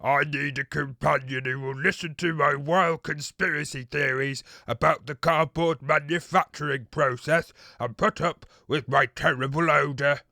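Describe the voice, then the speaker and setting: Creaky, plaintive lonely villager played by a man. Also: on the high side, steady tone, normal speed, few pauses, bright, forceful tone, dry recording, clean studio sound. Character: lonely smelly villager, paranoid conspiracy theorist. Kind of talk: comedy radio advert sketch.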